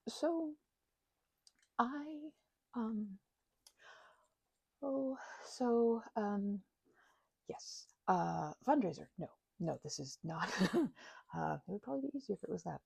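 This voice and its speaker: A shy, apologetic vampire woman played by a voice actress speaks in a low, mellow voice with a creaky edge. She stammers and trips over her words in a nervous, grateful audio roleplay, recorded close and dry. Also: no room sound, exaggerated voice. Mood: awkward, grateful. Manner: stammering, halting, nervous laughter, rambling apologies. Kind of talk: audio roleplay addressed to the listener.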